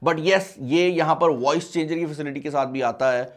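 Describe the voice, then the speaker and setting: A man's voice: on the high side, normal speed. A man in his twenties with a Pakistani accent, hosting a casual product review and mixing in English words. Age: 20s. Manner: mixes English words and phrases into speech. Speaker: tech review host. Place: small studio at a desk, indoors.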